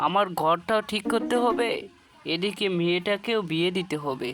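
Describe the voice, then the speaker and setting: A woman's voice: lowish voice, moderate pace, natural voice. A woman voicing a poor, stubborn village farmer and worried father in a cartoon, earnest and plain-spoken. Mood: earnest, determined. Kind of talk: cartoon character acting. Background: music.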